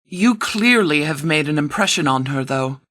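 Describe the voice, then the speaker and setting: A man playing a stern, protective post-apocalyptic sheriff in a video game, speaking in a formal, deliberate, fatherly way. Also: fairly high pitch, animated, pushed and bright, dry recording, studio quality. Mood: stern warning. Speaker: video game mod character actor. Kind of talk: scripted game dialogue.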